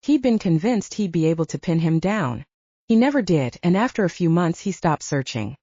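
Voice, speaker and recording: A woman's voice: on the low side, sing-song, moderate pace, mellow, slightly rough, no room sound, lo-fi sound. A woman reading a scripted story as an audiobook narrator.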